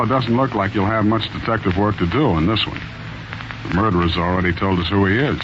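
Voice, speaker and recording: A man's voice: low-pitched, background noise. A man playing a wry army sergeant who hosts a 1940s radio mystery show, with an ominous showman's delivery. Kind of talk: 1940s radio hosting, scripted. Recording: muffled 1940s radio recording with music.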